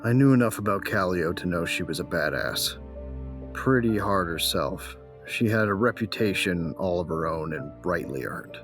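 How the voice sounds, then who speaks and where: A man's voice: low voice, expressive, moderate pace, music in the background. A man playing a grieving, embittered bounty hunter who narrates his own story in an audio drama, brooding and terse. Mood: heavy, grieving.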